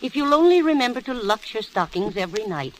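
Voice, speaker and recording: A woman's voice: on the high side, big pitch swings, fast talker, poor audio. An actress playing a patient, sensible mother in a 1930s radio commercial skit, on an old recording. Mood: patient, soothing.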